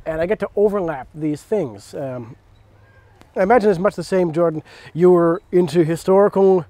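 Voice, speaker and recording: A man's voice: high voice, moderate pace, dark tone, dry recording. A man in his thirties chatting casually outdoors as a host, explaining things in a conversational way. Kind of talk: casual hobby chat and cooking demonstration. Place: outdoors in a leafy backyard.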